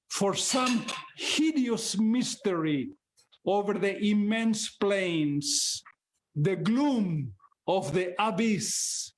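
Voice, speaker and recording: A man from Argentina with an Argentine accent, a poet and translator speaking and reading poems at an online open mic. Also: high voice. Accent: Argentine Spanish.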